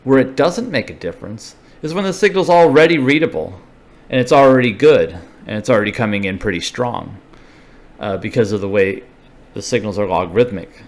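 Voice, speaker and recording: A man with a fairly low voice gives a casual technical explanation. He sounds informal and unscripted, thinking aloud and building each point one step at a time, like the presenter of a hobbyist video. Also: animated, brisk.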